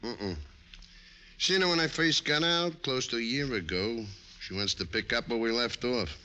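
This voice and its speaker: An actor playing a tough ex-convict who runs a pool room, curt and wisecracking, in old radio crime style. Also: male voice, medium pitch, moderate pace, pushed and bright, lightly acted. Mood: scornful. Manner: curt, wisecracking street talk. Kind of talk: 1940s-50s radio crime acting.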